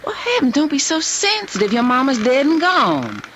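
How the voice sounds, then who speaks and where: An actress playing a willful, coquettish young Southern US newlywed wife in old-time radio drama style, honeyed and cajoling, then imperious. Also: female voice, sits high, huge pitch swings, moderate pace, fairly bright tone, heavily acted. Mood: lightly soothing. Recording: dull noisy old radio drama recording.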